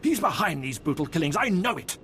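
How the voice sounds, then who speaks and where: An actor playing an outraged, self-righteous citizen of an evil empire in a video game, indignant and declamatory, with some noise behind. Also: male voice, on the high side, big pitch swings, fairly quick, a bit bright. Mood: indignant accusation. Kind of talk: dramatic video game acting.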